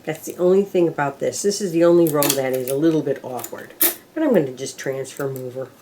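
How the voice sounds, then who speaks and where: A woman's voice: low voice, quick pace, noisy background. An older woman casually demonstrating machine knitting step by step, counting rows aloud as she works. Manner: talks to herself while working, counting rows aloud. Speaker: knitting instructor.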